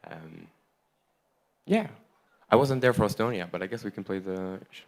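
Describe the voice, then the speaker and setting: A man's voice: deep voice, lively intonation, moderate pace, vocal fry. A man telling an audience about a tour, in a chatty, rambling and enthusiastic way, speaking on stage into a handheld mic. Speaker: tour member giving a report on stage. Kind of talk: casual on-stage talk to a live audience. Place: large hall at a festival, on stage.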